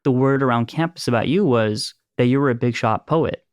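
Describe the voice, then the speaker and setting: A smooth-voiced man telling an animated story. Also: medium pitch, fairly quick, no room sound. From Los Angeles. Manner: animated storyteller, laughs easily. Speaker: filmmaker and producer. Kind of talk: casual conversation, storytelling.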